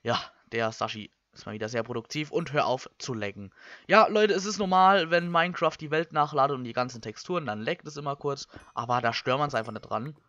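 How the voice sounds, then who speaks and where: A young man from Germany, giving casual Let's Play commentary and thinking out loud in colloquial language. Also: medium pitch, fairly quick, no echo. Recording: slightly dull, lo-fi microphone.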